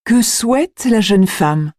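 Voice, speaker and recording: Slow, mellow woman reading exam questions. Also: medium pitch, lively intonation, no room sound, studio quality. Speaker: exam narrator. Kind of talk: scripted reading of test questions.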